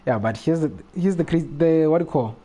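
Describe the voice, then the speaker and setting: A man's voice: a little high, brisk, warm tone. A man talking casually as a live game streamer, rambling and often repeating his phrases. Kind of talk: casual gaming livestream chat.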